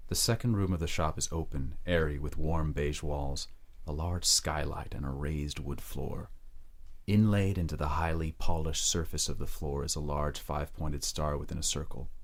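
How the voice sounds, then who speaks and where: Very deep, husky male narrator. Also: steady tone, normal speed. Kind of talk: dramatic scripted narration in present tense.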